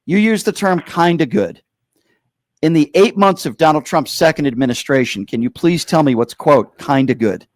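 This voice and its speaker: American guy talk show host, really animated, kinda high voice, pushy and emphatic, dry close sound. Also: no echo. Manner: insistent, interrupting, repeats pointed questions; emphatic, drawn-out stress on key phrases. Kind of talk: heated political call-in debate.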